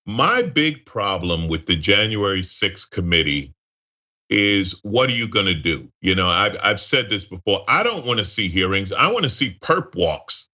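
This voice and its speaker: A man with a fairly low but bright, forceful voice talks politics as a guest. He is wry and emphatic, often repeating phrases to drive them home. The recording is slightly muffled. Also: sing-song, normal speed. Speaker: guest commentator. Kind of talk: conversational political commentary.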